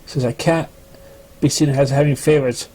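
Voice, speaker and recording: A man's voice: mid-range voice, fairly even intonation, normal speed, mellow, natural voice. A man voicing a stern but fair schoolteacher pony in a formal, lecturing manner.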